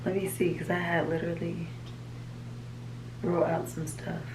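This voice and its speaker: A woman with a raspy, allergy-hoarse voice, chatting casually to her viewers at home, rambling as she shows her planner. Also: lowish voice, flat delivery, measured pace, roomy sound, heavy background noise. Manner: rambling, chatty, talks to viewers as "you guys". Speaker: vlogger. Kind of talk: casual chat. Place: home, at a desk.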